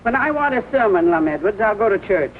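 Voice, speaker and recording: A woman's voice: medium pitch, very animated, fast talker, lightly acted. Woman playing a curt, stern spinster, tinny film sound. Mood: scolding. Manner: curt, imperious, scolding. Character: stern, stingy, impatient middle-aged spinster customer. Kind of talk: 1940 film comedy acting. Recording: thin, noisy 1940 film soundtrack.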